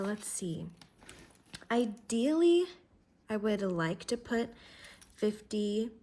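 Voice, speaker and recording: A woman with a slightly congested voice from a cold talks casually through her budget as she writes. She goes slowly and thinks aloud over each number, in a friendly, chatty way. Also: mid-range voice, a little mellow. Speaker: vlogger doing her budget. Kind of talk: casual talk-through while writing.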